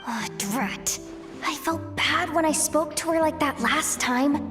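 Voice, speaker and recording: High-pitched, easily flustered youngster. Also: female voice, unhurried. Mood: flustered grumbling. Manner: blustery, self-conscious, hesitant mumbling to herself. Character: awkward, easily flustered tsundere youngster named Yehoda. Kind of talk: video game voice acting. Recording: game music playing behind. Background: game music.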